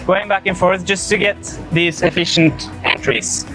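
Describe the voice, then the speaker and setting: A man coaching a handstand session, calm and encouraging, explaining each step plainly. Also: high voice, normal speed, over music.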